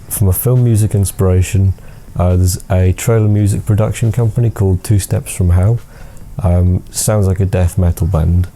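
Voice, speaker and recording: A young man with a thick Scouse accent, a music student answering interview questions thoughtfully, pausing often with ums. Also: low voice, dark tone, a little hiss or hum. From Liverpool. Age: young adult. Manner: thoughtful, hesitant with many ums.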